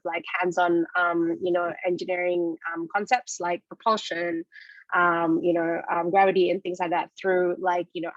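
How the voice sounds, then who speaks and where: A woman in her thirties from Indonesia speaking informally on a panel over a video call, hesitantly, with frequent pauses and repeated phrases. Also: lowish voice, no room sound. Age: 30s. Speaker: panelist, children's science programme co-founder. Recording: dull, lo-fi video call audio.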